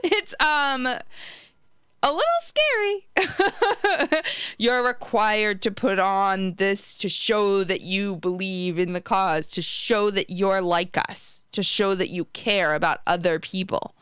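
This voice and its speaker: A woman's voice: medium pitch, slow, fairly bright tone, smooth, no echo, clean studio sound. An American woman co-hosting a podcast, in casual chat about politics. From United States. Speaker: podcast co-host.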